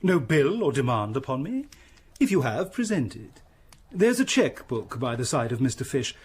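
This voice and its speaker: A male actor playing a pompous, wealthy English gentleman in a period radio drama, blustering, hesitating and sermonizing. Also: medium pitch, very animated, normal speed, slightly rough. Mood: pompous, imperious. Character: pompous, wealthy, self-satisfied gentleman and member of parliament.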